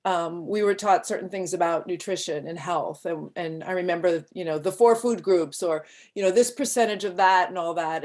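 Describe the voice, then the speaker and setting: A woman's voice: on the low side, a little mellow. A woman hosting an interview, chatty and enthusiastic as she talks with her guest. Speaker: host. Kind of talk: casual interview talk.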